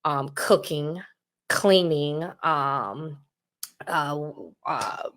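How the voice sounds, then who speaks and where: A woman's voice: low-pitched, very slow, a bit bright. Earnest rambling woman in her thirties. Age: 30s. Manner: earnest, rambling, repeats "you know what I'm saying". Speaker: podcast guest. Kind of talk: candid conversational talk.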